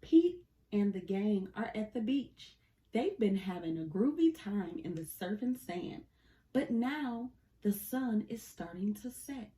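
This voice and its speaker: A woman reading a children's picture book aloud in an animated, deliberate storytelling manner. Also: mid-range voice, low-quality recording. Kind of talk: expressive scripted read-aloud of a picture book.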